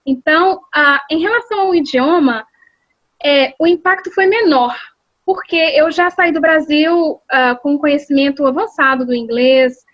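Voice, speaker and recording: A woman's voice: sits high, unhurried, a bit bright, no echo, muffled recording. A Brazilian woman working as an executive secretary, answering interview questions thoughtfully with frequent fillers and restarts over a video call. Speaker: executive secretary and English teacher.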